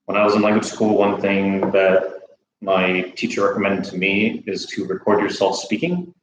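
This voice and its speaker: An American man hosting a casual livestream Q&A, relaxed and chatty, laughing easily. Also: low-pitched, moderate pace, echoey room, background noise. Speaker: host.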